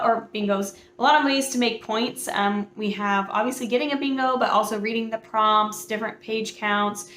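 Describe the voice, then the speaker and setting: Bubbly woman, a little hoarse. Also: a little high, fairly bright tone, echoey room, a little hiss or hum. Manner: bubbly, chatty, many fillers. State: hoarse from a cold. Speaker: book channel host. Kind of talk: casual chat to camera.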